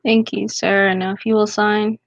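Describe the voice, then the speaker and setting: A woman's voice: medium pitch, level tone, gravelly, slight background noise. A woman playing a formal, businesslike commissioner of oaths in a radio drama. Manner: slow, official.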